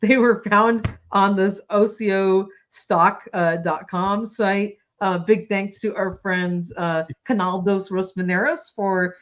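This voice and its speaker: A woman co-hosting a toy news show in casual chat, her voice hoarse and fading, giggly and enthusiastic. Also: mid-range voice, steady tone, slow pace, few pauses, highs cut off.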